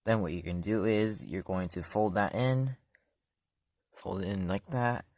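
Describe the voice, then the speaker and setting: A man's voice: lowish voice, unhurried, darkish tone, no echo, studio quality. A man hosting his own channel, walking through a gadget setup step by step in a loose, chatty way and often trailing off with "so, yeah.". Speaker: channel host reviewing a gadget. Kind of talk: casual step-by-step product demo.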